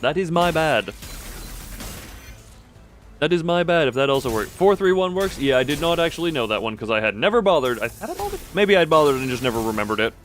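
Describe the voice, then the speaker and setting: A man's voice: fairly high pitch, very animated, brisk. Playful man rambling over game music. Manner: playful, rambling, muttering asides to himself. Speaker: live streamer. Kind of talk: casual gameplay commentary to chat.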